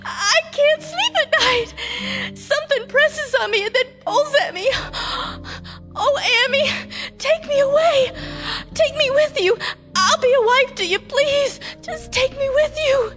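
An actress playing a frightened farm wife who pleads in a trembling, tearful voice in a radio drama. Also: female voice, extremely high pitch, takes it slowly, fairly bright tone, soft background music, heavily acted. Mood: desperate, tearful. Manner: pleading, trembling, breaks into sobs. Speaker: farmer's wife.

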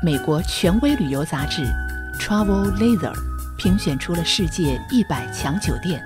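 A woman delivers a scripted feature voice-over with a polished, measured delivery. Her pitch moves in a lively way, and music plays clearly behind her. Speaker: narrator. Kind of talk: scripted promotional news-feature narration. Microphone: studio voice-over.

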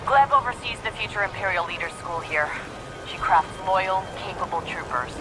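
A woman acts out a principled female officer in a game drama. She speaks firmly and seriously, with tight control, over loud background noise. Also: on the low side, expressive, normal speed, natural voice. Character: resolute female Imperial commander, questioning and principled. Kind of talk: dramatic video game acting. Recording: loud ambient noise, some echo, music.

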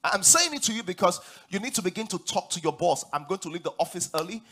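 A man in his forties with a Nigerian accent, preaching a sermon on a large church stage, animated and rising to loud emphatic declarations. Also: high-pitched, quick pace. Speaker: pastor.

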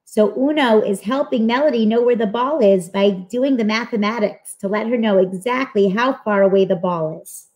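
A young woman presenting a children's read-aloud in an expressive, explanatory way. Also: mid-range voice, moderate pace, few pauses. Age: young adult. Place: small room at home, indoors. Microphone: webcam video call mic.

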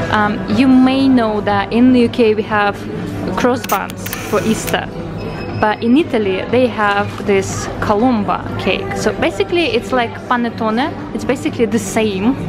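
A young woman from Ukraine, speaking English as a second language, chatting enthusiastically to her viewers while filming herself with a handheld camera. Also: a little high. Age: young adult. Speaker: vlogger. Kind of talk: casual vlog narration. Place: city streets and a restaurant, indoors and out. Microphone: handheld camera at arm's length. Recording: loud background music.

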